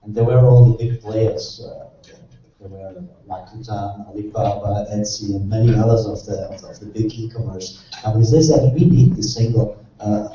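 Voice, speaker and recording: Male session chair, earnest and halting, recorded far off in a reverberant hall with faint noise. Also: on the low side, dark tone. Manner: earnest, hesitant, heavy on fillers. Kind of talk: introducing speakers at a conference. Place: large conference hall. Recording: echoey hall, distant muffled mic, faint noise.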